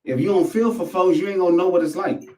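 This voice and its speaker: Male, American, higher register. Slangy, profane, repetitive rambling commentary, recorded roomy and off-mic. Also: quick pace, darkish tone. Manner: slangy, profane, repeats phrases for emphasis. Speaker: host of a commentary show. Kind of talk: casual rambling commentary to camera. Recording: echoey room, distant microphone.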